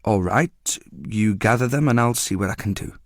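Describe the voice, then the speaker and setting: Man doing an anxious thin young woman, hesitant, polite, slightly raised pitch, dry. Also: normal speed, no room sound, close to an everyday voice.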